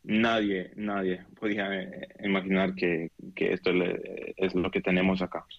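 Deep-voiced young Israeli man, dull audio. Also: flat delivery, creaky, no room sound. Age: 20s. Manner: halting non-native speech, searching for words. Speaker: interviewee giving an eyewitness account. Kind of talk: unscripted interview. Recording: dull, band-limited remote call audio.

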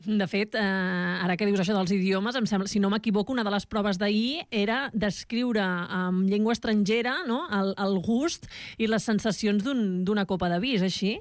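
A woman radio interviewer poses long, winding questions, stopping on fillers and repeating words as she goes. Her voice sits at an ordinary pitch and sounds close and dry in the studio. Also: moderate pace, dry recording.

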